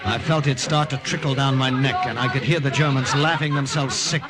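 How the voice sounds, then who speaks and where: A man's voice: medium pitch, fairly even intonation, brisk, a loud crowd, natural voice. An actor with an American accent playing a weary wartime secret agent telling his story in an old-time radio drama. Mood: bitter. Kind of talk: 1940s-50s radio drama acting with first-person narration.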